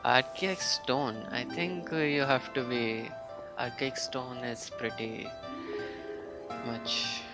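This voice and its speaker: A man casually commentating on a video game live, thinking aloud in a halting way as he reads and reacts. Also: medium pitch, steady tone. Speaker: game streamer. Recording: game music behind the voice. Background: loud game music.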